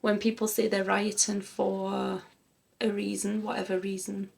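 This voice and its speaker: Gravelly, flat-toned woman talking it through. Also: mid-range voice, a little slow. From Germany. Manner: earnest, thinking aloud. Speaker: co-host of a music reaction channel. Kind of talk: casual reaction discussion.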